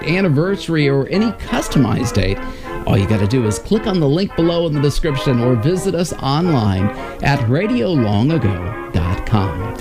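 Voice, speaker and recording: An American man in his fifties hosting with a scripted, emphatic announcer delivery at a broadcast microphone. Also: on the high side. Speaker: host. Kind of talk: scripted presentation. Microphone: large broadcast microphone on stand, close. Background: music.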